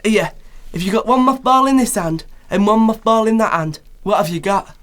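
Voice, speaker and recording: A man's voice: sits high, normal speed, fairly bright tone. A man playing a coarse, gossipy working-class joker in a radio play, rambling and colloquial. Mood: gossipy, blunt. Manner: rambling, colloquial, full of tag questions. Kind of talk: radio play character acting.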